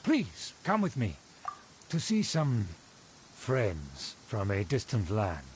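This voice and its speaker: A man's voice: lowish voice, wildly swooping pitch, takes it slowly, a little hiss or hum, full character voice. An actor playing a haughty, self-important nobleman in a video game, with pompous, formal diction. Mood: gracious, self-important. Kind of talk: theatrical video game character acting.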